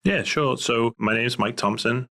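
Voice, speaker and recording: A middle-aged man from Liverpool with a Scouse accent, talking through his career as an interview guest in a relaxed way, with many fillers. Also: mid-range voice, normal speed, vocal fry. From Liverpool, England. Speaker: guest, private investigator. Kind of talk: casual interview talk.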